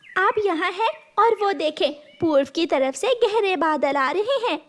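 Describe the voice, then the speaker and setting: A woman's voice: very high voice, animated, a little slow, fairly bright tone, heavily acted. A woman voicing an anxious, scolding mother bird in a cartoon, plaintive and reproachful. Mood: worried. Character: anxious, scolding mother sparrow grieving her lost egg. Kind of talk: Urdu cartoon voice acting.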